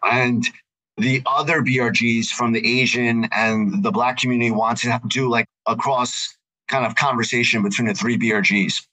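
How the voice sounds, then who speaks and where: Bright-voiced New man telling stories. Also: mid-range voice, steady tone, normal speed, rough recording. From Queens, New York. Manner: storytelling, conversational with restarts. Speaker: guest. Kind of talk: podcast interview.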